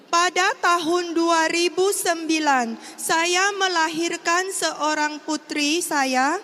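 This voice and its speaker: Very high-pitched Indonesian woman in a hall. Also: slow, pushed and bright. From Riau, Indonesia. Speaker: testimony speaker. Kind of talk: prepared personal testimony speech. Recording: long hall reverb, faint background noise.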